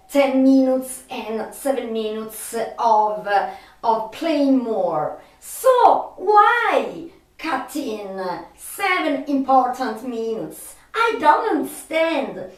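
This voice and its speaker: A woman who is not a native English speaker presents enthusiastic film commentary in a halting, emphatic way with long pauses. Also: high voice. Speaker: host of a film trivia video. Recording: echoey room, distant microphone.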